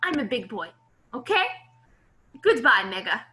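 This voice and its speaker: A woman's voice: on the high side, big pitch swings, measured pace, sharp tone, full character voice. A woman playing a pompous, childish villain boss in an amateur comedic script reading, bossy, possessive and petulant. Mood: pompous commanding.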